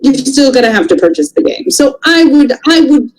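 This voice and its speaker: A woman speaks as a co-host in relaxed, conversational language. Her voice sits a little high and moves around a lot in pitch as she gives her take. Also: fairly quick, poor audio. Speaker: panelist and co-host. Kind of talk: casual chat, giving opinions.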